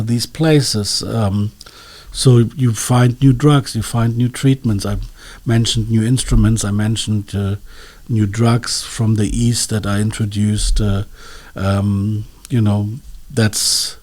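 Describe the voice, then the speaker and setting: A man's voice: lowish voice, a little mellow. A man speaking as an expert interview guest, explaining in a hesitant, scholarly way and searching for his words.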